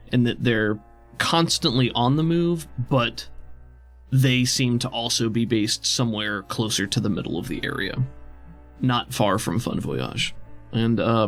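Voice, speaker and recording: A man narrating a tabletop role-playing game as game master, describing things in a conversational, improvised way. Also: mid-range voice. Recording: music under the voice. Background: background music.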